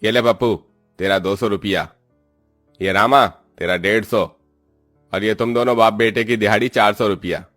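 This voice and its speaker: A man acts out a brusque, bullying overseer from a cartoon story. His voice is on the low side and gruff in manner, scolding as he orders people around. Faint music plays underneath. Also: fairly even intonation, normal speed, fairly bright tone, lightly acted. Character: bossy, gruff male overseer and threatening landlord.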